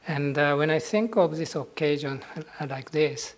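A middle-aged Japanese man speaks with a Japanese accent in a gentle, husky voice. As a Buddhist monk giving a ceremonial talk, he searches for his words with frequent pauses and soft hesitations. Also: fairly high pitch. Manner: soft-spoken, hesitant, with many pauses and fillers.

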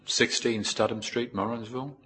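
A middle-aged man with a New Zealand accent giving an informal, reflective Buddhist talk in a measured way, with pauses. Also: mid-range voice, fairly even intonation, fairly bright tone. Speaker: Buddhist monk, teacher. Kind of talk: informal spoken dharma talk with personal anecdotes.

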